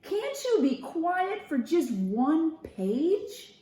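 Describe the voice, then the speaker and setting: A woman's voice: very high voice, huge pitch swings, extremely slow, dark tone, voice pushed up, full character voice. A woman reading a picture book aloud, voicing an exasperated, fussy male animal who scolds emphatically and repeats himself. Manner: ranting, emphatic, repetitive. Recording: roomy echo with clear background noise.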